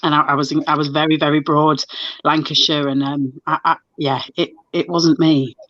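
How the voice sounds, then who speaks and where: A woman's voice: low voice, little pitch movement, moderate pace, a bit bright, dry recording. A woman hosting a casual video chat, telling stories in a chatty, stop-start way with lots of restarts. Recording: dull lo-fi sound.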